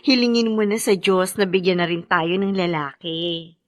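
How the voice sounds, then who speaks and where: An actress with a Filipino accent playing a soldier's devoted, emotional young wife in a radio drama. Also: female voice, lowish voice, moderate pace, no room sound, close to an everyday voice. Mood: happy, excited. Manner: expressive, emotive.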